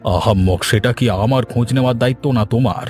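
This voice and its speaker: A man's voice: medium pitch, fairly quick, darkish tone, soft background music, close to an everyday voice. A man playing a short-tempered, threatening village master and father in a cartoon, with a scolding, imperious way of talking. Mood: stern, annoyed. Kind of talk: cartoon voice acting.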